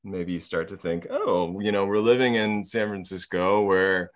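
A man's voice: sits low, fairly even intonation, normal speed. A man in casual conversation, rambling with many fillers and a sardonic edge.

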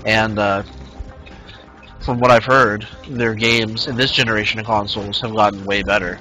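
A man's voice: on the low side, fairly even intonation, normal speed, bright, forceful tone, soft background music, low-quality recording. A man in his twenties casually streaming a game, rambling and reading the chat aloud. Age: 20s. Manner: rambling, reads chat aloud, many fillers. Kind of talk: casual live-stream chat while gaming.

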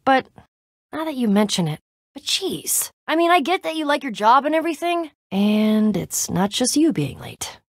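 Casting: tough, loyal young female game warrior; high, very animated voice, sarcastic banter, dry close recording. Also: normal speed, no echo, full character voice. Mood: awkward, sincere. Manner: cocky, sarcastic, slangy banter. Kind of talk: animated video game character acting.

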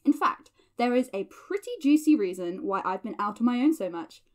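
A woman's voice: fairly high pitch, animated, normal speed, lightly acted. An actress playing a playful, nerdy young woman in a chatty audio drama diary, teasing and theatrical. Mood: chatty, wry.

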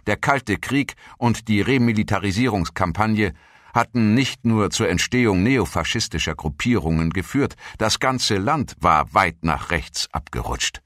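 A man reading scripted documentary narration in a measured, formal manner, like a history audiobook. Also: on the low side, lively intonation, fairly bright tone, dry recording, studio quality. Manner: measured, formal read delivery.

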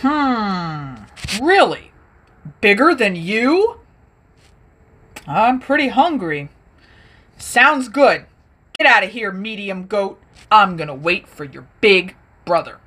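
A greedy male troll from a children's storybook, voiced by a woman, roaring his threats in slow, drawn-out words. His voice swings widely up and down as he boasts about gobbling up goats. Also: medium pitch, very animated, a bit bright, slight background noise. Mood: smug. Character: hungry boastful male troll guarding a bridge.

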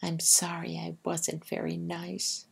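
A woman's voice: on the low side, monotone, slow pace, vocal fry, slightly rough, full character voice. A woman voicing an eager, sweet little boy mouse from a children's storybook, childlike in manner. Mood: proud, tender.

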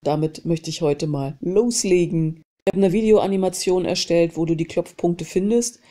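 A woman with a cold hosting a self-help channel, calmly guiding a tapping session and repeating short phrases between named tapping points. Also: fairly low pitch, normal speed. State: has a cold. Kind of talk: guided EFT tapping session.

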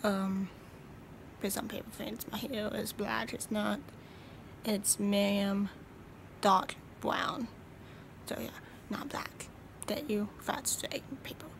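Young woman vlogger, tired and gravelly, slow half-whisper to a close phone, faint hiss. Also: medium pitch, steady tone. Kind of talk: casual selfie video chat. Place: small indoor room. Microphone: phone held close.

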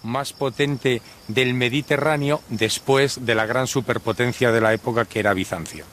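A man's voice: medium pitch, steady tone, fairly quick, fairly bright tone, slight background noise. A man with a Spain accent giving an explanation as an expert in an interview.

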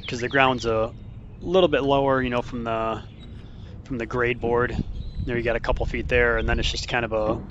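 A man's voice: mid-range voice, level tone, normal speed, a bit bright. A man giving a casual, plain-spoken voice-over as he explains his building project. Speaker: homesteader narrating his barn build. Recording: dull sound with clear background noise.

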